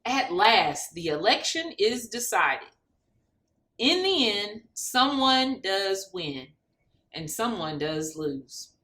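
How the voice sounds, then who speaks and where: A woman reads aloud for children with a bright, clear-cut edge to her voice. She takes her time, and her pitch swings widely as she expresses each phrase. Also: sing-song, takes it slowly. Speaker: reader of a children's picture book.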